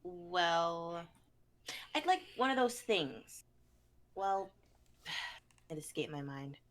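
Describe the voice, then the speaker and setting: A woman playing a coy, dreamy lady who makes her wishes in a hesitant, drawn-out way for a comedy reading. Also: fairly low pitch, big pitch swings, heavily acted.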